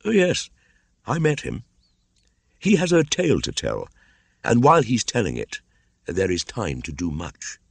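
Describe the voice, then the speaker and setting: A man's voice: lowish voice, huge pitch swings, a little slow, vocal fry, long pauses, slightly rough, no echo, voice pushed up, exaggerated voice. A man reading a storybook aloud, voicing a stern young jungle-raised boy who speaks in an old-fashioned, commanding way. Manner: stern, archaic speech.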